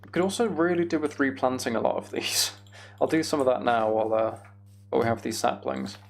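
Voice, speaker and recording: A man's voice: mid-range voice, fairly quick, creaky. A man streaming a video game, chatting casually and thinking aloud in a rambling way.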